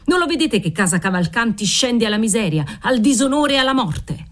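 A woman's voice: fairly low pitch, sing-song, normal speed. Woman reading as a volatile old nobleman. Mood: furious. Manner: swings from dreamy murmuring to furious shouting; fervent, obsessive. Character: aging nobleman, desperate, volatile, pious father.

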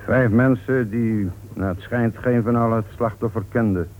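An actor playing a calm, methodical, paternal police inspector in a Dutch radio play. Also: male voice, medium pitch, normal speed, lightly acted. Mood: measured, musing. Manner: paternal, probing, murmured acknowledgements. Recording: dull, noisy old radio play recording.

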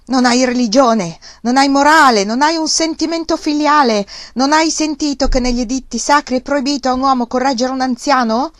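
A woman voicing a greedy old man, a village uncle who turns from shrill and trembling to fawning and wheedling. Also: moderate pace, no echo, clean studio sound, close to an everyday voice. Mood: shrill fury. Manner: shrill and trembling when angry, fawning when begging.

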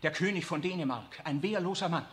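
An actor playing a defiant, passionate young priest in a 1960s radio drama. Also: male voice, fairly high pitch, brisk, fairly bright tone, in a large hall, lightly acted. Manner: earnest, defiant.

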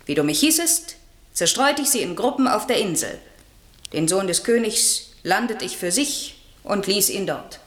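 A woman's voice: medium pitch, animated, moderate pace, a bit bright, echoey room, close to an everyday voice. A woman playing an airy spirit servant in a German classical radio play, eager and proud, declaiming verse theatrically and longing for freedom. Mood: reporting proudly.

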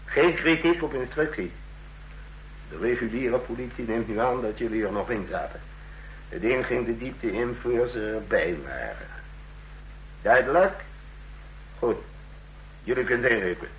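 An actor playing the curt, authoritative chief of a fascist secret police in a vintage radio play. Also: male voice, medium pitch, lively intonation, measured pace, long pauses, fairly bright tone, rough recording. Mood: curt, commanding. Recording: old radio recording, hum and hiss.

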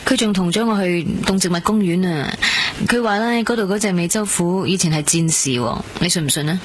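An actress with a Hong Kong accent playing a gentle, curious young woman in a radio drama. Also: fairly low pitch, a little fast, a little hiss or hum, close to an everyday voice. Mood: chatty. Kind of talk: radio drama dialogue and first-person narration. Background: prominent music.

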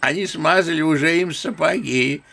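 An actor playing a genial elderly general in a radio play, sighing and reminiscing like an old-fashioned storyteller. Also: male voice, fairly high pitch, moderate pace, vocal fry, pushed and bright, heavily acted. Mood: amused, animated.

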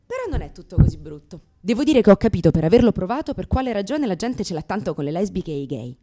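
A woman's voice: mid-range voice, very animated, fast talker, warm tone. An actress in a radio theatre monologue playing a brash, sarcastic, exasperated new mother in her thirties. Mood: sardonic. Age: 30s.

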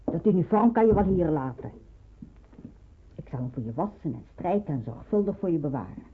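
A woman's voice: fairly low pitch, expressive, brisk, phone-quality sound, a little hiss or hum, natural voice. An actress playing a motherly, careful resistance helper, caring and matter-of-fact, in an old radio drama recording. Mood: practical, caring.

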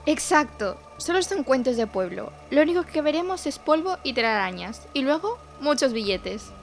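A woman playing a brash, sceptical young ghost debunker, bossy and forceful, in a horror audio drama. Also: high voice, very animated, normal speed. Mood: dismissive.

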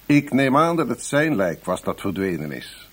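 An actor playing a curt, irritable intelligence chief in a Dutch radio play, giving orders in a businesslike, exasperated way. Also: male voice, medium pitch, big pitch swings, normal speed, a bit bright, breathy edge. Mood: grave, businesslike.

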